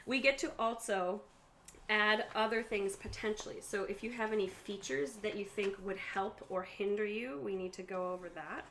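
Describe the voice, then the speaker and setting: A woman's voice: mid-range voice, moderate pace, fairly bright tone. Woman game master getting over a cold. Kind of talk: explaining a tabletop game to players. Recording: faint room noise.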